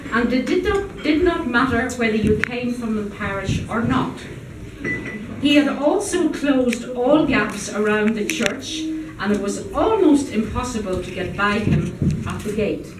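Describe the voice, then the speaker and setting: A woman reading a literary story aloud at a live event, in a measured, deliberate storytelling manner. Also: fairly high pitch. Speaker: reader at a public event. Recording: echoey room, distant mic, noisy background.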